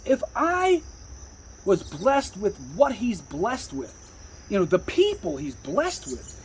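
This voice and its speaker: A man with a husky voice telling his story casually, in emphatic, repetitive, slangy talk with frequent swearing. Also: high-pitched, wildly swooping pitch, takes it slowly, a little hiss or hum. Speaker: rapper, interview guest. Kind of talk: casual rambling personal storytelling.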